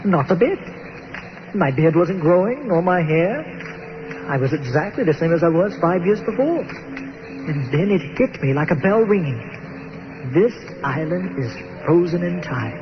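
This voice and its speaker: Man acting a courteous, high-voiced stranded Victorian archaeologist. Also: big pitch swings. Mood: wistful wonder. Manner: formal, halting, earnest storytelling. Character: stranded 19th-century archaeologist, courteous, awed by his island. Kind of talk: old time radio drama acting. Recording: muffled radio-show recording with music behind.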